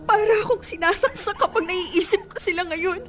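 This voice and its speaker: High-pitched, plaintive heartbroken young woman. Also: normal speed. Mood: anguished, tearful. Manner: plaintive, hesitant. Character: heartbroken young woman clinging to her cheating boyfriend. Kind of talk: Tagalog radio drama acting. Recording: music bed behind the voice.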